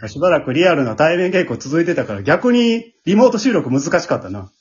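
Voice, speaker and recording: A man's voice: fairly high pitch, very animated, a little fast, darkish tone, breathy edge. A man with a Kansai accent hosting a casual after-talk, switching between polite announcements and friendly chat. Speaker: host of an amateur radio drama group's after-talk. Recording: muffled lo-fi online audio.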